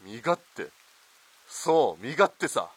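A man's voice: mid-range voice, very animated, slow pace, slightly rough, no echo, exaggerated voice. A man playing a corrupt, callous army lieutenant colonel in a radio drama, haughty and commanding. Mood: contemptuous, angry.

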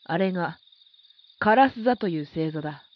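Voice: medium pitch, expressive, normal speed, muffled recording, close to an everyday voice. A performer acting a stern yet reflective military instructor in a Japanese audio drama, commanding and formal.

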